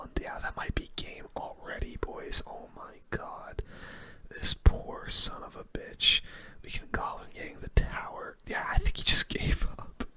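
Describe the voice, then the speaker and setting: A gaming host giving hushed, casual ASMR commentary in a whisper, using relaxed gamer slang.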